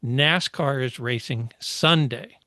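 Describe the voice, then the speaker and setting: A man co-hosting a podcast, chatting casually about motor racing, explaining history at a measured pace with many fillers. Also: mid-range voice, pushed and bright, dry recording, clean studio sound. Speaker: podcast co-host.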